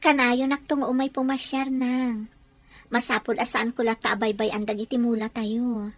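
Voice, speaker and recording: A doting young bride-to-be, as a woman plays her, with an Ilocano accent. Her voice sits a little high. She fusses over her man in a sweet, playful way. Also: flat delivery, no echo, natural voice. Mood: earnest. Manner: sweet, fussing, quick and playful.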